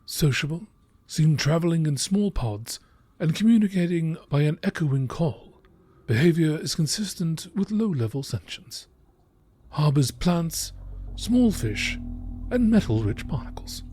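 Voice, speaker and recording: Male narrator, fairly high voice, very animated pitch, measured nature-documentary read, some background noise. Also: husky. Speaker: narrator reading game text aloud.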